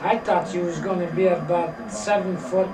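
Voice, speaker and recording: A man's voice: high-pitched, fairly even intonation, moderate pace, crowd noise. An older man with an Italian accent, a grandfather chatting and teasing his family in broken, rambling English at home. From Italy. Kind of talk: casual family chat. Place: wood-paneled living room, baseball on TV. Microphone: camcorder across the table. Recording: echoey home video from far off, TV and voices behind.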